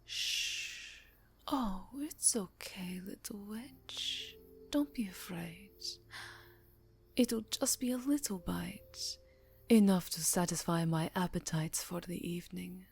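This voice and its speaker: A woman playing a seductive, predatory yet tender vampire countess in a whispery ASMR roleplay, breathy, intimate and coaxing. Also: lowish voice, takes it slowly. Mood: coaxing, hungry. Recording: soft music behind the voice.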